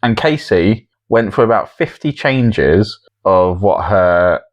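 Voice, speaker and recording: A man's voice: lowish voice, lively intonation, slow. A man co-hosting a podcast, chatting casually and commenting on contestants' attempts. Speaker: podcast co-host.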